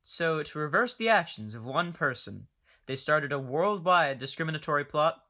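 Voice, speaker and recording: A woman's voice: sits low, lively intonation, normal speed, sharp tone, full character voice. A woman in an amateur audio drama playing a skeptical young passenger with doubting, teasing questions.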